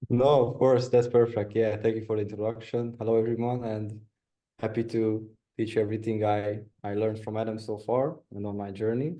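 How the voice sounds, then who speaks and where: Young guy on a video call, warm low voice, trips over words a bit. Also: steady tone, moderate pace, poor audio. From Romania. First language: Hungarian. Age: young adult. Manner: hesitant, repeats words, plain and friendly. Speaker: guest, video editor. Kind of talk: casual interview answers.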